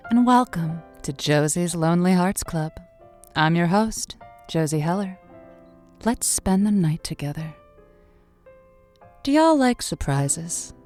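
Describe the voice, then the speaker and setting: A woman's voice: on the low side, a little mellow. Audio drama: female late-night call-in host, playful and musing, theatrical phrasing, some music behind. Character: warm, evasive female late-night radio love advice host.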